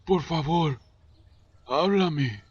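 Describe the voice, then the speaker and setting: Man playing slow, patient fatherly figure. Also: on the high side, slight background noise. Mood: concerned, pleading. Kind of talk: Spanish fandub voice acting. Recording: dull lo-fi audio, music behind.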